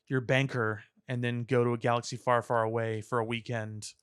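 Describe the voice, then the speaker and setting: A man's voice: medium pitch, little pitch movement, moderate pace, fairly bright tone, clean tone, no room sound, clean studio sound. A man co-hosting a podcast, chatting casually about pop culture with wry joking. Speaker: podcast co-host.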